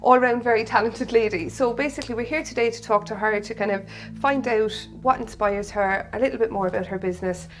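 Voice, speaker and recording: A woman hosting a casual interview, upbeat and effusive, introducing her guest and reacting warmly to her answers. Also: on the high side, brisk, hardly any pauses. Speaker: interviewer, host.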